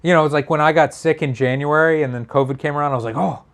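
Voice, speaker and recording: A man co-hosting a casual chat show, with a slightly raspy voice. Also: fairly high pitch, steady tone, fast talker, fairly bright tone. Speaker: show co-host reading viewer messages.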